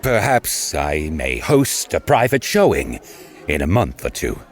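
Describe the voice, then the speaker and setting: A man's voice: rumbling low, very animated, measured pace, exaggerated voice. A man playing a pompous, wealthy lord with formal, courteous speech in a dramatised fantasy audiobook. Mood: smug, guarded. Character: haughty wealthy lord, boastful and suavely courteous.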